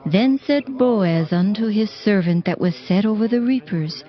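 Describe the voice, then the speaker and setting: A woman narrating scripture from a script in a solemn, formal and deliberate storytelling style. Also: mid-range voice, dull audio. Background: music.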